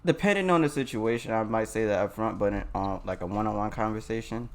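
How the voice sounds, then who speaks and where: A man's voice: on the low side, fast. A man co-hosting a casual podcast chat, slangy and joking, posing hypotheticals to his friends. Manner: slangy, laughs loudly, poses hypotheticals. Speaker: podcast co-host.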